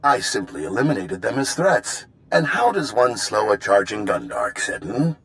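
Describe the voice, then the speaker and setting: A man's voice: on the low side, sing-song, normal speed, fairly bright tone. A man voicing a smug, gloating bounty hunter villain in a video game, theatrical and articulate. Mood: coolly menacing.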